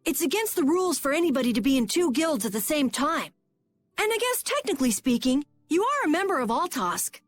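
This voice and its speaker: A high-pitched, very lively young boy acted by a woman from a video game. He is earnest and eager to explain, with a bashful streak of self-doubt, over faint music. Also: brisk. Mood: earnest, curious. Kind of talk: animated RPG video game dub. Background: faint background music.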